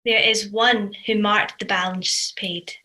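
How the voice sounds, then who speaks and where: Female reader, clear-cut bright tone, mid pitch, scripted devotional piece. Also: steady tone, slow pace.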